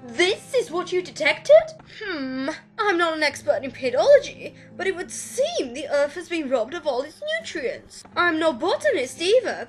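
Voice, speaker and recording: A woman's voice: high-pitched, fairly bright tone. A woman voicing a prim, scholarly female pika archaeologist who speaks in a genteel, chatty and easily flustered way. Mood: curious, worried. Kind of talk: fan voice acting reel.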